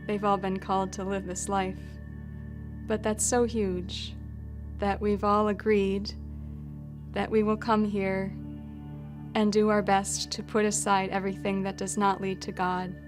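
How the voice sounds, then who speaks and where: A woman from Wisconsin, a nun, speaking reflectively in an interview, with small restarts. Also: medium pitch. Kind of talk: interview testimony. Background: soft music.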